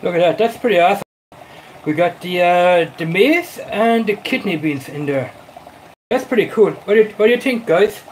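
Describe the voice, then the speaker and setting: A man's voice: sits high, animated, moderate pace, a little hiss or hum, rough recording. A man with a slight Irish accent chatting to viewers while he cooks in a small home kitchen, full of fillers and calls of "guys". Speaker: cooking vlogger. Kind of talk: casual live cooking talk.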